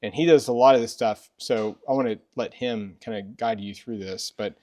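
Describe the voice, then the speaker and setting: A man's voice: fairly low pitch, normal speed, warm tone. A middle-aged man hosting a casual product review, informal and conversational, sometimes repeating himself. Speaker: video host.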